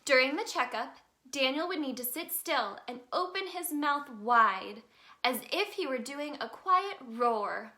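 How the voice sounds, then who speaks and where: A woman reading a children's picture book aloud as a storyteller, in an expressive, sing-song manner aimed at young children. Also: high-pitched, measured pace. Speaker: storyteller in character as the Tooth Fairy.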